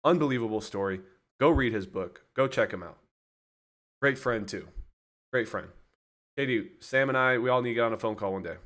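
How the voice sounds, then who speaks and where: A man's voice: mid-range voice, brisk, long pauses, fairly bright tone. Chatty man hosting a livestream. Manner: chatty, rambling, addresses viewers directly. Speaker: livestream host. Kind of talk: casual livestream chat.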